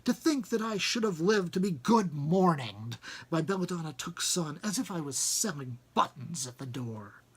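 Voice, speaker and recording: A man voicing a dignified, wry old wizard in a storybook, with a deliberate, pompous and commanding delivery. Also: fairly high pitch, big pitch swings, husky. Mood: indignant. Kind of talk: storybook character reading.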